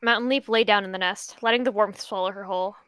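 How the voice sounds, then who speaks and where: A woman's voice: medium pitch, steady tone, fast talker, fairly bright tone, clean tone, no echo. A woman narrating her character's part in an improvised roleplay story.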